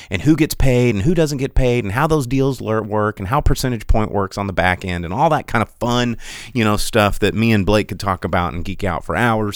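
A man hosting a casual solo podcast, rambling with many restarts and animated asides while he reads chat. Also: lowish voice, no echo, studio quality. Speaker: podcast host.

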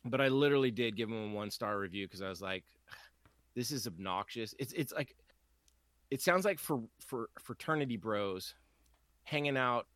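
A man's voice: mid-range voice, lively intonation, moderate pace, a bit bright, dry recording. A man co-hosting a podcast, ranting casually with lots of swearing and mocking quoted voices. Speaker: podcast co-host.

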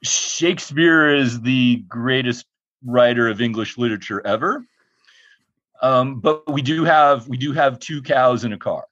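A deep-voiced man improvising a screenwriter in a comedy scene, with a rambling, stumbling delivery. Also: a little slow, fairly bright tone, dull audio.

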